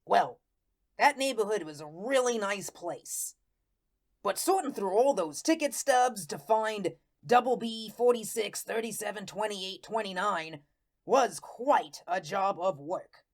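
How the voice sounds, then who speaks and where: A woman's voice: mid-range voice, lively intonation, unhurried. A woman playing a naive, lovelorn male ghost who narrates his story in folksy, slangy talk, in the style of a radio play. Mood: chatty, guileless. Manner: folksy, colloquial, guileless storytelling.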